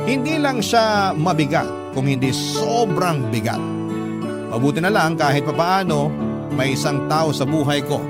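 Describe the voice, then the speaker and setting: Casting: male actor for burdened young breadwinner narrator, lighter-pitched, heartfelt, prominent guitar bed. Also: lightly acted. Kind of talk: scripted radio drama narration. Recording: guitar music behind the voice.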